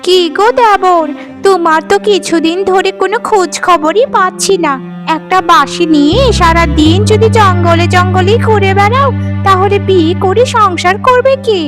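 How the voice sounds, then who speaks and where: A woman's voice: very high voice, normal speed, music in the background. A woman playing a chiding, teasing village housewife who fusses over her brother-in-law in a fairytale cartoon. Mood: teasing, scolding. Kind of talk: Bengali fairytale cartoon dialogue.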